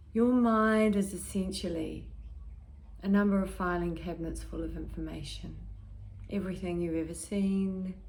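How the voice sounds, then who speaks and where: Woman doing guided meditation, lowish voice, really slow n calm, soft breathy at ends of phrases, lots of pauses. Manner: calm, gentle, measured, with long pauses between short phrases.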